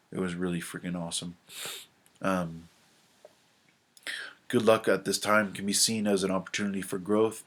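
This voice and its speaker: Low flat-voiced guy, nasal and stuffed up, halting with lots of ums. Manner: halting, many ums and restarts. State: stuffed-up nose from a cold. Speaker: astrology video presenter. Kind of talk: casual talk to camera, reading notes.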